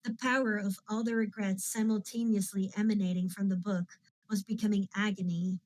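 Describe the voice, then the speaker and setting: A woman from the Netherlands reading a novel aloud on a live stream, calm and chatty between chapters. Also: medium pitch, moderate pace, dry recording, low-quality recording. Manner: calm, chatty asides to viewers. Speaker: audiobook reader and streamer.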